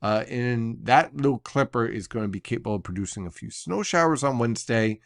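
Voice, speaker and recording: A man's voice: medium pitch, lively intonation, brisk, vocal fry, no echo, studio quality. An American man explaining a weather forecast in an analytical but conversational way, like a semi-casual briefing.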